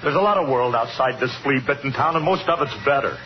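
An actor playing a cornered, fatalistic dance musician in a 1950s radio suspense drama, stammering and pleading in slangy talk. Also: male voice, mid-range voice, quick pace, close to an everyday voice. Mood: desperate, defensive. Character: cornered, bitter male dance musician fearing a lynch mob. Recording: muffled old radio recording with music.

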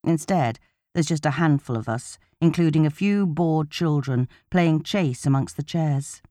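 Mellow, low-voiced woman narrating an audiobook. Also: a little slow, no room sound, clean studio sound. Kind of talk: first-person audiobook narration.

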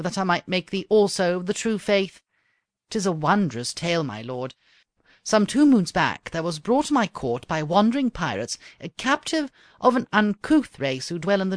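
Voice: medium pitch, no echo, clean studio sound, voice pushed up, lightly acted. An audiobook reader voicing a young princess who speaks in formal, old-fashioned phrases. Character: proud, sporty young princess.

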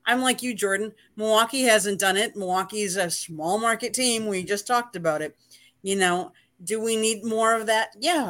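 A woman's voice: medium pitch, fairly bright tone. A woman on a sports talk panel who poses rhetorical questions and then answers them herself.

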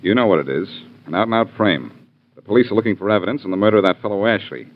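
A man's voice: fairly low pitch, rapid-fire, slight background noise, lightly acted. Actor as tinny old-radio tough-guy hero. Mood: defiant, accusing. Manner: clipped, hard-boiled, confrontational. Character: tough, defiant male crime-drama hero, loyal and cocky. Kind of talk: 1940s radio crime drama acting. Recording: tinny 1940s radio recording, phone-like.

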